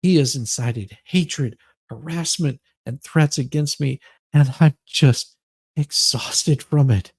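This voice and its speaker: A man's voice: medium pitch, takes it slowly, breathy edge, no room sound. A man voicing an aggrieved thirty-something woman in a theatrical, overwrought dramatic reading of her self-pitying post. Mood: exhausted, pleading.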